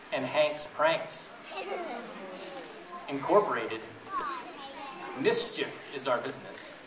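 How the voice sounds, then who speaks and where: A man reading a picture book aloud to a group of children in a playful, chatty way, pausing to ask them questions. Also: on the high side. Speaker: storybook reader to children. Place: echoey room with an audience of families. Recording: roomy, distant event recording with audience noise.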